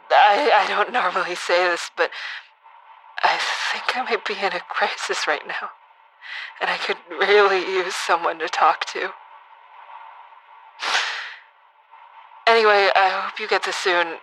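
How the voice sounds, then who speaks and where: An actress playing a troubled, tipsy shooting survivor in an audio drama, halting and hesitant as she leaves a voicemail. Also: medium pitch, a bit bright, natural voice, poor audio. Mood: pleading, subdued. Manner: halting, hesitant, with nervous laughs. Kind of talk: naturalistic audio drama acting.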